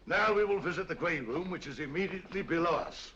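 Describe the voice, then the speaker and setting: A pompous sing-song tour guide. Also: male voice, a little high, animated, fast, fairly bright tone, breathy edge. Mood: theatrical. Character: pompous, chatty male museum tour guide. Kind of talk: 1940s film acting. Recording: dull lo-fi 1940s film soundtrack with faint room noise.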